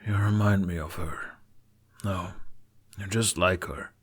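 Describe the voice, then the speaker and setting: Guy doing a gruff stern dad king, low voice, protective and commanding, fantasy audiobook. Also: steady tone, takes it slowly, heavily acted. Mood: wistful.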